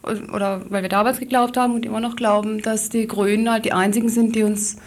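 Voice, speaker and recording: A woman's voice: a little high, flat delivery, fast, a little hiss or hum. A woman giving unscripted explanatory answers as an activist interview guest, hesitating as she searches for words.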